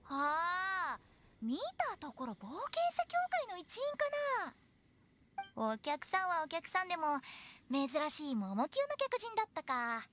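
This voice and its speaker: An actress playing a playful, teasing young funeral director in an anime game, with theatrical, sing-song sales talk. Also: female voice, sits high, sing-song, measured pace, a bit bright, a little hiss or hum, exaggerated voice. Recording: clean studio voice over game music.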